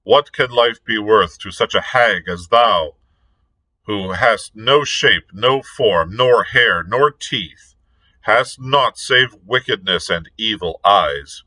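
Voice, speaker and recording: A man's voice: fairly low pitch, bright, forceful tone, full character voice, low-quality recording. A man voicing a stern young king who speaks in grave, formal old-fashioned language. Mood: commanding. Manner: solemn, measured archaic speech.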